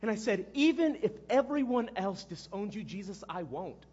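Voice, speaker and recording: An actor playing a guilt-ridden, impulsive fisherman disciple in a halting, conversational dramatic monologue, with a husky male voice. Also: a little high, big pitch swings, highs cut off, full character voice. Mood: sorrowful, subdued. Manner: halting, conversational, erupting into shouts. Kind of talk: dramatic first-person monologue.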